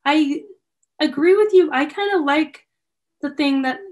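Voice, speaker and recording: A woman's voice: high-pitched, measured pace. A woman hosting a casual interview, speaking in a tentative, conversational way. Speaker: interviewer, host.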